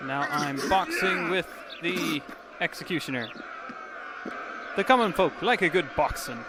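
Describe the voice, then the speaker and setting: Gamer quipping over heavy noise. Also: male voice, a little high, animated, measured pace, a loud crowd. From Canada. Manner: wry, quipping asides. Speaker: let's play gamer. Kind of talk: casual joking game commentary.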